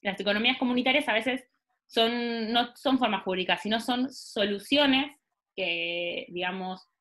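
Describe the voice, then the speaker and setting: A woman's voice: fairly high pitch, a little slow, a bit bright. A woman in her thirties with an Argentine accent, leading an online workshop over a video call, thinking aloud with many fillers and restarts. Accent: Argentine Spanish. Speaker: workshop facilitator. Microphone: laptop mic on video call.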